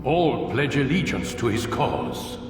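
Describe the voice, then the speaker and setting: An actor playing a stern, cryptic old master of assassins in a video game, measured, commanding and condescending. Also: male voice, medium pitch, very animated, noisy background. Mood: grave, knowing. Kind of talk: dramatic video game acting. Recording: distant, cavernous echo, music behind.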